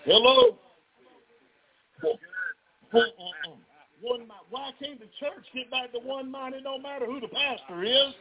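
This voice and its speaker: A man's voice: extremely high pitch, unhurried, long pauses. A Southern-accented man preaching a fervent, folksy revival sermon to a live congregation, calling out to the crowd. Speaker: pastor. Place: revival tent near a residential street. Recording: tinny phone-line live stream.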